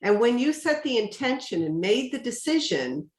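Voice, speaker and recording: A woman's voice: fairly high pitch, big pitch swings, moderate pace, echoey room. A woman hosting an online interview as an energy coach, encouraging her guest and recapping what she says.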